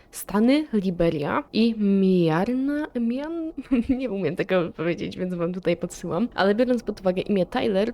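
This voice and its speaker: A woman giving casual reaction commentary, reading text aloud and thinking out loud in a chatty, inquisitive way. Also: mid-range voice. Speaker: video creator reacting to analog horror.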